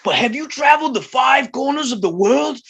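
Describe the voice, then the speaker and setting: A man's voice: very high voice, animated, brisk, creaky, fairly bright tone, slightly rough, exaggerated voice. A man playing a bombastic, grandiose middle-aged circus ringmaster, delivering theatrical barker patter in a script read. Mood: showy, grand. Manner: grandiose, showy. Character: bombastic fifty-year-old circus ringmaster, small but titanic.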